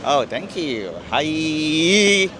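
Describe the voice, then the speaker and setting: A man's voice: on the high side, lively intonation, drawn-out words, pushed and bright. A man from Malaysia chatting casually as a street interviewee, off-mic over some street noise. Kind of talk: casual chat.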